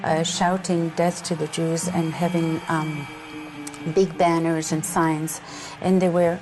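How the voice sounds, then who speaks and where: A woman recalling a frightening memory in a documentary interview, subdued and even. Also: low voice, slow pace, music in the background, poor audio.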